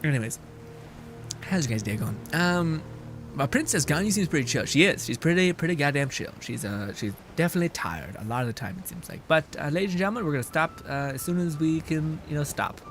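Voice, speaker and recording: A man's voice: a little high, animated, brisk, a bit bright, faint music behind. A man livestreaming a game in casual chat, exasperated and swearing freely as he rants. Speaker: game streamer.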